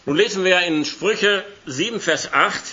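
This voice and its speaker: A man with a cold preaches in a church hall, his voice hoarse but bright and forceful. He talks casually with the congregation and reads scripture aloud. The sound is a little muffled and lo-fi. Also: high voice, measured pace. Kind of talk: sermon with Bible reading.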